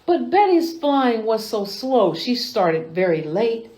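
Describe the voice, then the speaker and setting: Animated, high-voiced woman reading a storybook. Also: slow. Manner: sing-song rhyming delivery, animated. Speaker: library storytime reader. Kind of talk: expressive children's picture-book narration.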